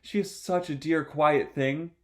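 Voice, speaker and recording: Man playing a high-voiced, earnest little storybook girl. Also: a little slow, close to an everyday voice. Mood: soothing. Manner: earnest, musing aloud to herself. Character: polite, chatty, anxious little girl.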